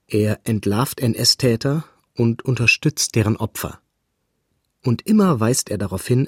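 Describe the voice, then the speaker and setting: A man reading calm, factual documentary narration. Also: fairly low pitch, breathy edge, dry recording, clean studio sound.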